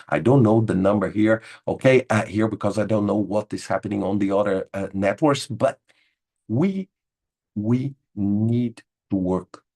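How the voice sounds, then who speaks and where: Husky-voiced man, emphatic webinar host. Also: lowish voice, darkish tone. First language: Portuguese. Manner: emphatic, repeats words, says "okay?" a lot. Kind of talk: informal webinar talk answering chat questions. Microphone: video call.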